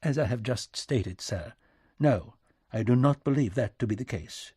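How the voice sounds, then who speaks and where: A man's voice: a little high, expressive, normal speed, a little mellow, dry recording, studio quality, voice pushed up. A man voicing a courteous aristocratic diplomat in an audiobook, speaking formally and stiffly. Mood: stiff, defensive.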